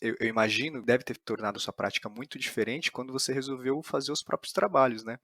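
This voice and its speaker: A man's voice: medium pitch, fast talker, fairly bright tone, dry recording, clean studio sound. A man with a Brazilian accent hosting a conversational podcast interview, rephrasing tentatively as he goes.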